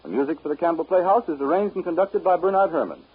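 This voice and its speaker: A muffled, scratchy 1930s broadcast features an American man announcing. His voice is a little high with a rough grain, swinging widely in pitch as he speaks with polished, emphatic salesmanship. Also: animated, quick pace. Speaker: radio announcer.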